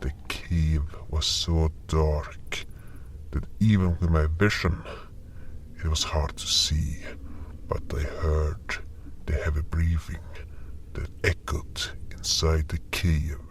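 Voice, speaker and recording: Man playing a slow, low-voiced fantasy hunter. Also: level tone, in a whisper, exaggerated voice. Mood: hushed suspense. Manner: measured, deliberate, pausing mid-sentence. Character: Neart, weary curious male monster hunter telling his tale. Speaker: narrator and character in an audio drama. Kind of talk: slow scripted first-person fantasy narration.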